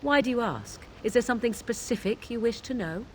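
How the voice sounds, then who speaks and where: A woman voicing a proud, aloof young witch of the wilds in a fantasy game, speaking in archaic, measured, formal phrases. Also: a little high, lively intonation, a little hiss or hum, natural voice. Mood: guarded, musing. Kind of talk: fantasy video game dialogue.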